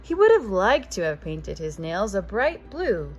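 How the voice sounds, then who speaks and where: A woman's voice: mid-range voice, very animated, a little slow. A woman in her thirties reading a picture book aloud as a preschool teacher, expressively addressing young children. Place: living room with couch, indoors.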